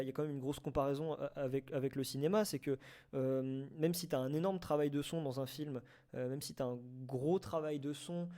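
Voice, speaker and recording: A man's voice: fairly high pitch, mellow. A man hosting a casual live debate, hesitant, often repeating and rephrasing his words. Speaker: host of a live online debate. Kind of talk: casual unscripted debate on audio fiction.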